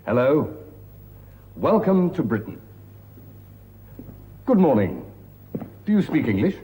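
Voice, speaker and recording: An actor playing a polite, patient British immigration officer who helps a traveller fill in a form. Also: male voice, fairly high pitch, big pitch swings, slow pace, mellow, long reverb tail. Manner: courteous, patient, formal.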